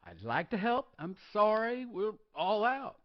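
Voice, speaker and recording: A man at a live table read playing a helpful, deferential janitor who speaks haltingly and politely. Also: sits high, big pitch swings, muffled recording. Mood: apologetic, helpful.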